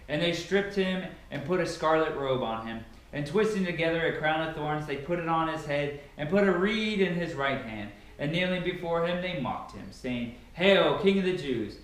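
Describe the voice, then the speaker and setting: A young adult man giving a dramatic Bible reading in a declamatory, emphatic way, recorded by a camera a few meters away in a large living room. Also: fairly high pitch. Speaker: reader of scripture. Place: large, sparsely furnished living room indoors. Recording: very echoey room, camera at a distance.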